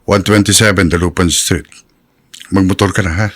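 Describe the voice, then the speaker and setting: A practical Filipino father in a radio drama. His voice is on the low side, and he talks in a polite, slightly hesitant way. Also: fairly even intonation, moderate pace, a bit bright. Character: mild, practical father who runs a gas dealership.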